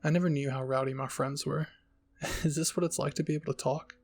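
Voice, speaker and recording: A man's voice: fairly high pitch, steady tone, a little fast, soft background music. A man narrating a first-person fan-fiction story as a roleplay reading. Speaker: story reader.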